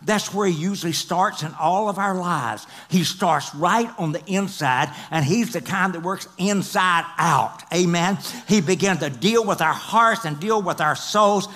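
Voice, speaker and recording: A middle-aged man preaching a sermon on a large church stage into a headset mic, swinging from loud, excited passages to hushed whispers. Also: high voice, measured pace, few pauses. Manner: paces the stage and gestures. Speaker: pastor.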